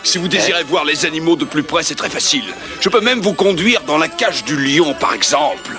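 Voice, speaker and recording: A man's voice: a little high, animated, fairly quick, music in the background, lightly acted. A man voicing a heartfelt, old-fashioned circus-owner father in a French-dubbed cartoon. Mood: dryly menacing.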